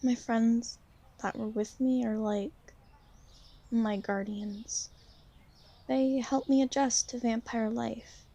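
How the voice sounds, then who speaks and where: A young woman playing an insecure, gentle newly turned vampire in an ASMR roleplay, speaking softly and haltingly, with shy apologies. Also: a little high, steady tone, muffled recording. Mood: reassuring, apologetic. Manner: hesitant, halting, soft-spoken, apologetic. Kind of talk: ASMR roleplay, intimate one-sided conversation.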